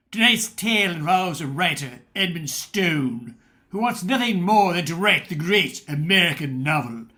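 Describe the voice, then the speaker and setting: A man's voice: high voice, pushed and bright, heavily acted. A man playing the formal, theatrical host and narrator of an old-time style radio play.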